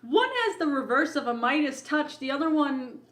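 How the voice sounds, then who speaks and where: A woman's voice: sits high, moderate pace, fairly bright tone. A woman co-hosting a podcast in casual banter, chatty and emphatic, with lots of "like" in her talk. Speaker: podcast co-host. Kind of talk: casual chat, review banter.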